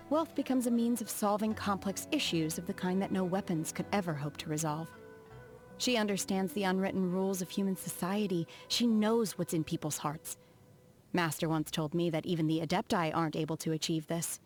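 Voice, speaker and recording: A woman acts out a reserved young female apprentice of immortals for a video game. Her voice sits a little low, soft-spoken and formal, with measured, aloof delivery. Music plays behind the lines. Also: lightly acted. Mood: cool, composed.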